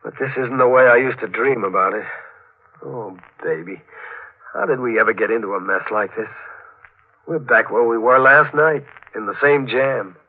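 Young fugitive newlywed husband, male actor, edgy and suspicious, slightly rough voice, vintage radio drama on a thin band. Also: medium pitch, normal speed, natural voice. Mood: weary, tender. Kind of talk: 1940s radio drama acting. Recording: narrow-band muffled old radio recording.

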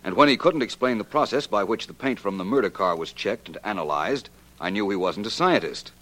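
Deep-voiced, self-assured radio detective. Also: male voice, lively intonation, fairly quick, a bit bright. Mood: self-assured, explanatory. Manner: crisp, confident, methodical explaining. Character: shrewd, self-assured male amateur detective explaining his deductions. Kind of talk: 1940s radio detective drama acting.

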